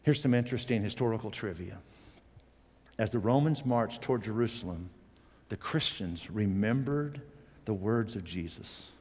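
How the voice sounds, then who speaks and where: Animated middle-aged preacher in a reverberant hall. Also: male voice, moderate pace, husky. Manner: animated, gestures broadly, swings from loud emphatic peaks to quiet low asides. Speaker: pastor. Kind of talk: sermon. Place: church stage, indoors.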